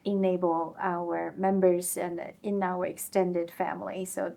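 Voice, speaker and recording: A woman's voice: fairly low pitch, little pitch movement, a little slow, vocal fry, mellow. A woman hosting a chamber of commerce interview, affirming her guests and sharing a community example. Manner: affirming, frequent listening murmurs. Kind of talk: conversational interview.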